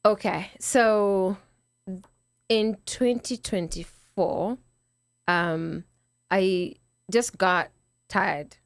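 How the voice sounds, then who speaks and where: A woman's voice: mid-range voice, very slow, pauses often, dry recording. A middle-aged Kenyan woman, a podcast guest, chatting casually with a slightly nasal voice, often restarting and quoting herself. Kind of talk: casual interview chat.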